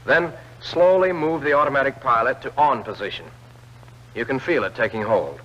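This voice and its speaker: A man reads scripted instructional narration for a 1940s military training film, clearly addressing the trainee directly. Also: medium pitch, expressive, normal speed. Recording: old film soundtrack, dull lo-fi band.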